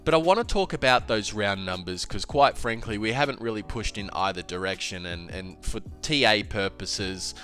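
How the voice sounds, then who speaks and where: A man's voice: fairly low pitch, moderate pace, few pauses, faint music behind. A man with a stuffed-up, slightly nasal voice, talking casually and emphatically about trading in a solo video. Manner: animated, emphatic, with filler and restarts. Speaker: crypto trading educator.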